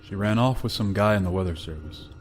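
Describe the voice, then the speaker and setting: Low-voiced, grave spaceship pilot played by a man. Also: fast talker, faint music behind, natural voice. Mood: grim, guarded. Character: weary, stoic spaceship pilot and haunted narrator. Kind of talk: 1950s science-fiction radio drama acting.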